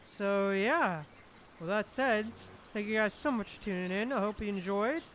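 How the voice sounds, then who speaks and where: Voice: medium pitch, normal speed, a bit bright, a little hiss or hum. A livestream host chatting casually while gaming, often repeating phrases in reply to chat. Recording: music playing behind the voice.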